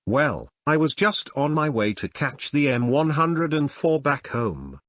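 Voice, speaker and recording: An actor playing an everyday man interviewed as a news witness. He sounds earnest and a little stilted, with widely varied pitch in a dry recording. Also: sing-song, a little slow, a bit bright, no room sound. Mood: earnest, shaken. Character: ordinary male New York eyewitness, earnest, recounting frights.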